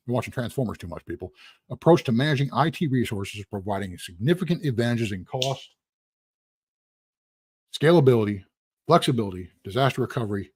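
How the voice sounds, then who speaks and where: A husky man, losing his voice, teaching a casual live-streamed lecture in a rambling, conversational way with asides. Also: mid-range voice, a little mellow, dry recording. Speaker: instructor.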